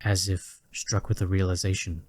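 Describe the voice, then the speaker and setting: A deep male narrator reads a dramatic fantasy story from a script. His delivery is deliberate, with weighty pauses between phrases. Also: level tone, no room sound.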